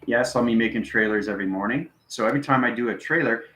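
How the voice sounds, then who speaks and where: A man's voice: mid-range voice, quick pace, a bit bright, roomy sound. A man hosting a live stream, giving a rambling spiritual explanation in an instructional way, often checking in with short tags. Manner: instructional, frequent check-in tags like okay and right. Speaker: host answering live viewers.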